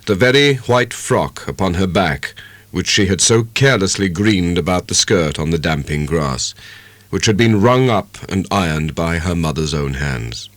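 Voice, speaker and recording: A man giving a measured, expressive dramatic narration of a literary audiobook. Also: deep voice, dry recording.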